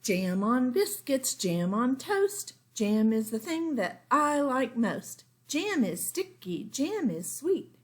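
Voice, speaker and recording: A woman's voice: sits high, big pitch swings, slow pace, few pauses, pitched above the performer's own voice, exaggerated voice. Woman as a sing-song picky little girl. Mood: playful singing. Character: young girl Frances, fussy, playful picky eater.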